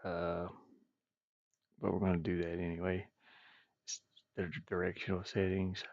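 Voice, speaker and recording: A man with a gravelly voice rambles and mutters to himself, thinking aloud casually as he streams his game development work. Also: low-pitched, completely flat delivery, moderate pace, a little mellow, no room sound. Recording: dull, muffled, dry lo-fi microphone.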